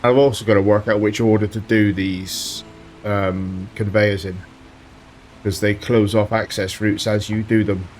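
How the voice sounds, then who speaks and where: A man with a slight sore throat giving relaxed commentary while playing a driving game, thinking aloud as he goes. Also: on the low side, a little slow, faint music behind. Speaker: let's play host. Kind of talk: casual gameplay commentary.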